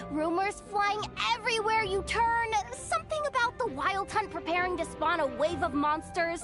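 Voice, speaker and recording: Tiny excitable game companion: extremely high, animated, exclamatory, over loud music. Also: female voice. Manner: exclamatory, squeaky, flustered. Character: tiny excitable childlike companion, blunt and easily alarmed.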